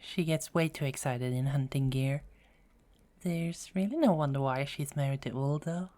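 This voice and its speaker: A woman's voice: rumbling low, warm tone. A woman playing a teasing, self-assured and soothing Norse goddess in an ASMR roleplay audio drama. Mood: playful, teasing. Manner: teasing, chuckling, unhurried.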